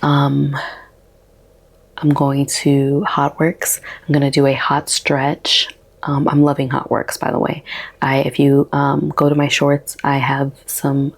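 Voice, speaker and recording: A woman in her thirties with a soft, relaxing voice, talking slowly and haltingly in a casual daily vlog. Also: sits low, gravelly, slightly rough. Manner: slow, halting, with many ums. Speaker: vlogger.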